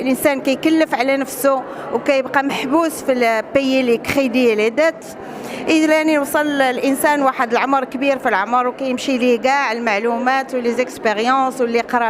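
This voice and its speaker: A woman with a Moroccan Arabic accent, a painter interviewed at an exhibition, switching fast between Darija and French. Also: sits high. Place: gallery opening, indoors. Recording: crowd chatter behind.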